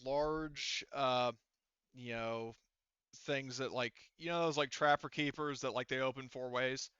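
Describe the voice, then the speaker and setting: A man narrating a tabletop game as game master, casually and haltingly, with informal, sweary phrasing. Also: mid-range voice, fairly even intonation, bright, forceful tone, clean tone, no echo, lo-fi sound.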